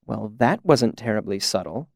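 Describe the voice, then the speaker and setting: A man's voice: lowish voice, expressive, normal speed, creaky, dry recording, studio quality. A man voicing a wry, self-possessed young woman in an audiobook story.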